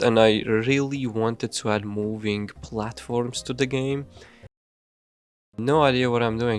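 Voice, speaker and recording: Male devlog narrator, casual and self-mocking, mid-range voice. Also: medium pitch. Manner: loose and offhand, frequent swearing and fillers; self-deprecating, hurried. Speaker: game dev YouTuber.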